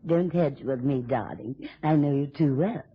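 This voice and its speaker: An actress in a radio drama playing a drunken, taunting, spiteful wife with a theatrical, mocking drawl. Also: female voice, sits low, normal speed, husky. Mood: sly, needling. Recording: dull, lo-fi old radio recording.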